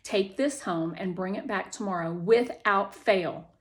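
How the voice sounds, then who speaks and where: A woman reading the part of a brisk, competitive male teacher in a children's story, firm and matter-of-fact. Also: medium pitch, natural voice. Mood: businesslike. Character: brisk, competitive male schoolteacher coaching a quiz team.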